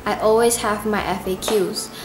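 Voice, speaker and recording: A woman's voice: medium pitch, steady tone, normal speed, music in the background. A woman in her early twenties from Indonesia, chatting earnestly and gratefully in a casual question-and-answer vlog. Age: 20s. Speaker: YouTube cover singer. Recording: echoey room with noise.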